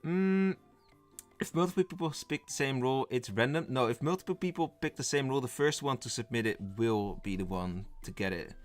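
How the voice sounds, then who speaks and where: A man's voice: mid-range voice, expressive, a little fast. Young Dutch-native male streamer, mid-range voice, loose rambling delivery, faint music underneath. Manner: rambling, thinks aloud, reads chat and lists. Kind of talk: casual live-stream chat.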